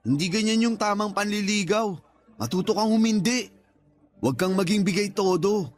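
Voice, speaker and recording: A man's voice: high-pitched, normal speed, close to an everyday voice. A man with a Filipino accent playing a blunt, protective friend in a radio drama, talking frankly and in slangy, scolding advice. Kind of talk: radio drama acting in casual dialogue.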